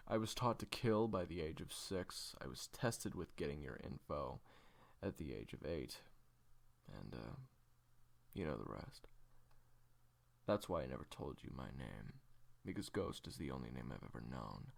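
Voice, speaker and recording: Guy doing haunted assassin for an audio drama, low voice, swings a lot, broody pauses. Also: big pitch swings, full character voice. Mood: agitated. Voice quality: gravelly, low. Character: haunted, weary male assassin raised as a nameless experiment. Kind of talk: dramatic audio roleplay acting.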